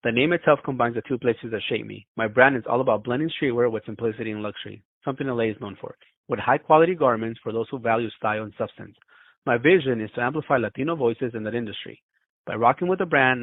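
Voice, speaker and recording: A man from Peru, a brand founder reading a scripted sponsor ad in a brisk delivery. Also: medium pitch, no echo. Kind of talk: read sponsor ad. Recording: tinny dry phone-like sound.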